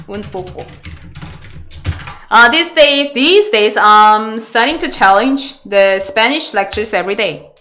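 A Korean woman in her thirties, a Go teacher answering chat questions on a webcam video call, chatty and halting in English with a Korean accent. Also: medium pitch, pushed and bright, a little hiss or hum. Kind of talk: live online lecture Q&A. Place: small room indoors.

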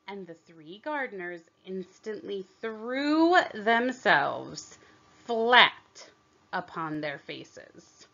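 A woman reading a children's story aloud in deliberate, expressive narration, on a slightly dull recording. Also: mid-range voice, vocal fry. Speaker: storybook reader.

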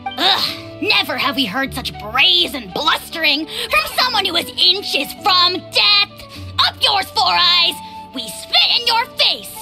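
A woman's voice: very high voice, sing-song, slow, music in the background, heavily acted. A woman voicing a boastful, pompous tiny male dragon lord in a video game, hurling insults with grand, theatrical outrage. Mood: scornful.

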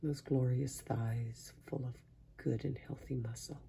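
A man softly guiding a sleep meditation in a hushed, breathy voice that often sinks into a whisper, slow and soothing with long pauses. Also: medium pitch, steady tone, slight background noise. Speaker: meditation guide. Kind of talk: guided sleep meditation, soft-spoken.

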